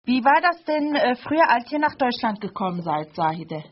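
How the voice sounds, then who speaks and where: A woman's voice: fairly high pitch, very animated, normal speed, slight background noise. A woman in her late twenties from Germany, hosting a studio chat and hesitating often with fillers. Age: 20s. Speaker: radio show host. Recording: dull lo-fi radio recording.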